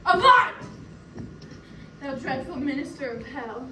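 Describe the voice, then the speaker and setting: A young woman acting a grieving, vengeful widowed noblewoman in a declamatory, emotional classical Shakespearean stage style. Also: sits high. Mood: defiant, scornful. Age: young adult. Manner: declamatory, emotional, slow deliberate delivery. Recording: roomy, distant mic, noisy room.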